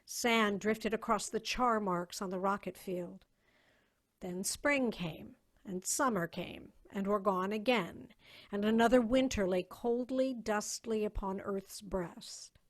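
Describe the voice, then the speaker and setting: A woman narrator giving a measured, literary dramatic reading of a story over a video call. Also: mid-range voice.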